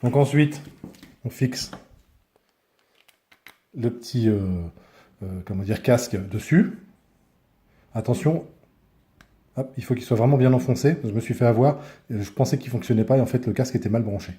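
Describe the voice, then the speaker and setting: A man's voice: mid-range voice, moderate pace, long pauses, warm tone. A man giving a casual hands-on product review, speaking informally and often searching for his words.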